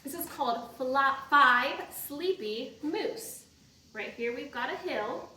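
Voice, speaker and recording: A woman's voice: sits high, animated, takes it slowly, sharp tone, slight background noise. A woman leading a storytime for young children, reading aloud and talking in a sing-song way with simple prompts. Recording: echoey room, distant microphone.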